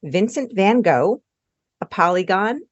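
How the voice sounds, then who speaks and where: A woman's voice: mid-range voice, expressive, measured pace, dry recording, lo-fi sound. A woman hosting a podcast, introducing a segment in a casual, explanatory way. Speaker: podcast co-host.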